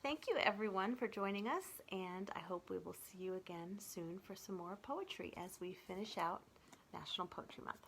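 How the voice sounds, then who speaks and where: A middle-aged woman presenting as a children's librarian and reading poetry aloud with clear, deliberate enunciation. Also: medium pitch, a bit bright, a little hiss or hum, rough recording.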